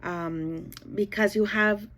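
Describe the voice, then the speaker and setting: Middle-aged texas border lady, bilingual spanish english, chatting to her viewers, kinda rambly, medium pitch. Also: fairly even intonation, takes it slowly, a bit bright. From El Paso, Texas. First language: bilingual Spanish and English from childhood. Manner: chatty, rambling, often checking in with "okay" and "you know". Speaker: YouTube cooking channel host. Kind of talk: casual self-introduction to viewers.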